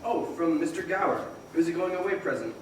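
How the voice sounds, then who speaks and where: A man's voice: a little high, lively intonation, quick pace, natural voice. Echoey, eager young man played by an actor. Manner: earnest, boyish, exclamatory. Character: eager, restless young man dreaming of big things. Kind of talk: old-time radio drama acting on stage. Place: performance hall, far from the microphone. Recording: very echoey, distant, noisy stage recording.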